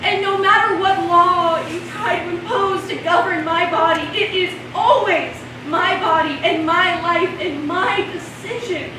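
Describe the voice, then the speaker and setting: A woman's voice: very high-pitched, measured pace, hardly any pauses. A woman acting an indignant woman in crisis in a stage play, projecting her monologue with emphatic, impassioned delivery. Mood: angry accusing. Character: indignant, anguished women in crisis over lost rights. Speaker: stage actor. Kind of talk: theatrical monologue in a one-act play. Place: indoor stage before a seated audience. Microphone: distant camera. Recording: echoey, noisy hall from far off.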